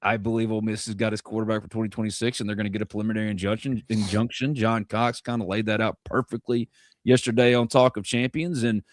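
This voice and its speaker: A man's voice: on the low side, steady tone, fast, dry recording, clean studio sound. A man from North Mississippi, hosting a live sports podcast in a rambling, joking, self-deprecating way. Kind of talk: casual live sports chat.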